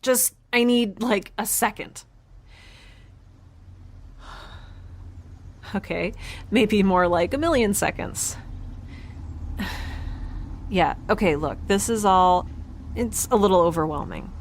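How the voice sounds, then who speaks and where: An actress playing a guarded, flustered young woman in a sci-fi audio drama, halting, defensive and rambling in a naturalistic style. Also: mid-range voice, slight background noise. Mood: overwhelmed.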